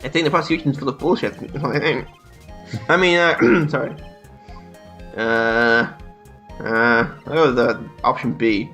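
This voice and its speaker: A man's voice: mid-range voice, steady tone, measured pace, pauses often, pushed and bright, faint music behind. A young man hosting a let's play, joking crudely and reading dialogue aloud. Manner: irreverent, crude, laughing often.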